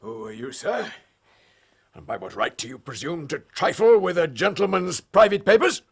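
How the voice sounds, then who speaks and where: An actor playing an irascible, miserly old English lord in a period radio drama, with a gravelly, husky voice and pompous, indignant manner. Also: male voice, fairly high pitch, animated, moderate pace, heavily acted. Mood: indignant, blustering. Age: older.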